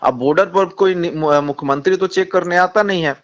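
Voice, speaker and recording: The sound is a slightly muffled, lo-fi phone call. A man with a Bihari accent and a somewhat high voice makes a political argument. He is insistent, often stumbling and repeating his phrases. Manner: halting, repetitive, insistent. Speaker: communist party politician. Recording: dull lo-fi phone call recording.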